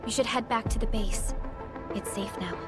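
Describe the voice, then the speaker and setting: An actress playing a calm, authoritative woman leader in a video game, with composed and formal phrasing. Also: on the high side, normal speed, over loud music, lightly acted. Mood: calm, assured.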